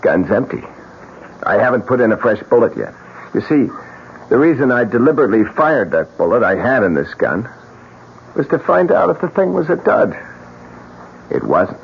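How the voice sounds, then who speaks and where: A man's voice: mid-range voice, very animated, normal speed, gravelly, pauses often. Theatrical, morbid eccentric painter man. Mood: sardonic. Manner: theatrical, chuckling, mock-courteous. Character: eccentric, sardonic male painter, cheerfully morbid. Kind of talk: old-time radio drama acting. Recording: muffled, faintly hissy old radio recording.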